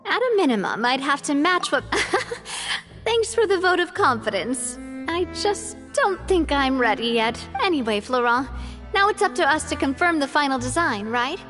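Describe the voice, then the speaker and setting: A woman's voice: high voice, big pitch swings, moderate pace. An actress playing a cheerful young woman who leads her group, playful and self-deprecating, in a game cutscene. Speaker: group leader. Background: soft game music.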